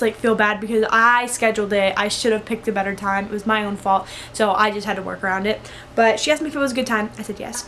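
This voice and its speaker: A woman's voice: fairly high pitch, fairly bright tone, slight background noise. A young woman vlogger sharing tips in a casual, rambling, chatty storytime style, with lots of "like" in her speech. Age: young adult. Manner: rambling, informal, heavy use of "like". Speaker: vlogger giving interview tips.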